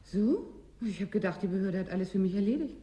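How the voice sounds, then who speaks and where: An actress in a German science fiction radio play, playing a brisk, curious newcomer from the south with an insistent, questioning manner. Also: female voice, medium pitch, expressive, warm tone, lightly acted. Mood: puzzled, insistent.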